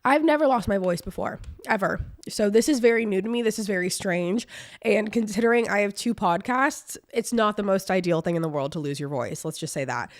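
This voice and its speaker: A young woman hosting her own podcast in casual solo chat, her voice hoarse from a cold, talking with lots of "like" and "um". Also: medium pitch, fairly quick, no echo, clean studio sound. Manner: chatty, lots of "like" and "um". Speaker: podcast host.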